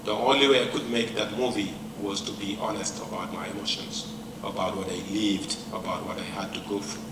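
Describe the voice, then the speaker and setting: A middle-aged man from Senegal, whose first language is not English, gives a personal lecture in a large hall, speaking in a measured, reflective way with many pauses. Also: fairly low pitch, little pitch movement, recorded from a distance. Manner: reflective, measured, pausing often. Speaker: professor giving a lecture. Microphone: handheld mic, far camera. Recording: echoey hall mic with room noise.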